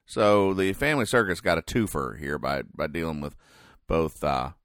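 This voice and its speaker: A man hosting a comedy podcast, explaining things in a halting, deadpan mock-serious commentary. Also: rumbling low, dry recording, studio quality. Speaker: podcast host. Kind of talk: comic commentary describing newspaper comic strips.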